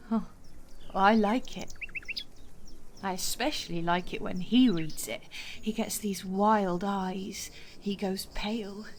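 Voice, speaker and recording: Languid young woman, acted, glum, unhurried, slightly high, faint chirring noise, audio drama.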